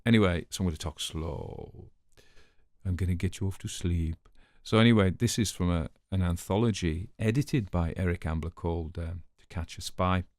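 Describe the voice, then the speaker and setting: A man's voice: sits low, normal speed, a little mellow, no room sound, clean studio sound. A man hosting a story reading, giving informal literary commentary in a chatty manner with offhand asides. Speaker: host and story reader.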